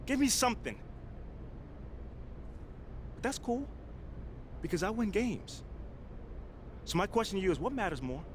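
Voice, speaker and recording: A proud young American pro ballplayer in a video game story. His fairly high voice moves freely up and down as he talks in an earnest, emphatic, colloquial way. There is clear noise behind him. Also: fairly bright tone. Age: young adult. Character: driven, proud young male pro basketball player. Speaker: video game actor playing the lead character. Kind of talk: naturalistic cutscene acting, impassioned speech.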